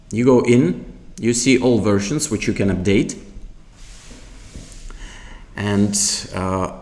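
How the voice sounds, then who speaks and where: Male product demonstrator, fairly deep, slow and halting, explaining step by step without a script. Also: a little mellow. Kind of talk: unscripted product tutorial.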